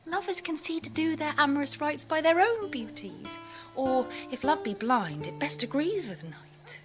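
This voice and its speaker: An actress playing a passionate young bride in classical radio drama, with a theatrical, impassioned verse delivery. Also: female voice, high-pitched. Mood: yearning, tender.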